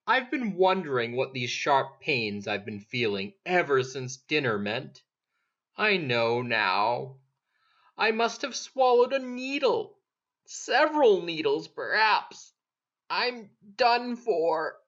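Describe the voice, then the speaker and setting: A theatrical old uncle with a lilt groans and drawls his complaints, reproachful and teasing, as a woman reading a storybook puts him on. He speaks very slowly. The sound is dull and muffled. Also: low voice, sing-song, a bit bright, full character voice. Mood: groaning.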